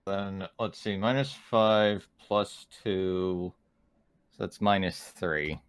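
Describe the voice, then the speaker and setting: A man's voice: low voice, fairly even intonation, takes it slowly, clear, resonant tone, dry recording. A man talking casually as a player at a tabletop game, working out his moves.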